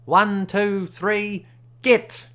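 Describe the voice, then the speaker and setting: A man's voice: fairly high pitch, animated, normal speed, fairly bright tone, pitched above the performer's own voice. A man voicing a boastful, easygoing frontier gambler who talks with a folksy drawl and backwoods grammar. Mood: easy, bragging.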